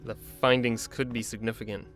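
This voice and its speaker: Man acting forceful, clinical research doctor. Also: mid-range voice, flat delivery, a little fast, faint music behind, close to an everyday voice. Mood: measured, clinical. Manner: clinical, commanding. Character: driven, authoritative male research doctor in zombie drama. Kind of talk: audio drama acting.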